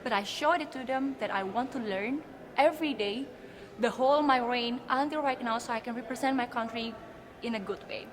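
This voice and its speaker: High-voiced young Indonesian woman, cheerful. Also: normal speed. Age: 20s. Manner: cheerful, giggly, earnest, non-fluent English. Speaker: beauty pageant contestant being interviewed. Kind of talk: interview answers.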